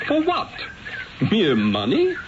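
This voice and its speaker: An actor playing a proud, witty master painter in a radio drama, speaking in a theatrical, haughty and eloquent manner. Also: male voice, high-pitched, wildly swooping pitch, slow pace, noisy background, heavily acted. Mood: haughty, bargaining. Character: proud, witty, grandiose male master painter narrating his story. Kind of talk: radio drama acting with first-person narration. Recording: dull vintage radio broadcast with music.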